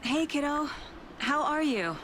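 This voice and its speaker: A woman playing a composed investigator and mother in a video game, speaking in a gentle, conversational way. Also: high voice, expressive, measured pace. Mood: affectionate. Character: composed adult female federal investigator and affectionate mother. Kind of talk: naturalistic video game acting. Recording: noisy ambience behind the voice.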